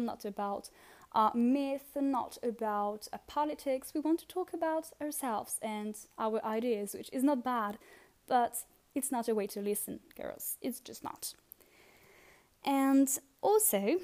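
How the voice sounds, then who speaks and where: A young woman with a French accent, talking through listening tips on her own podcast in a relaxed, chatty way with many fillers and repeated words. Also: on the high side. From France. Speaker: podcast host. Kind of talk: casual solo podcast advice.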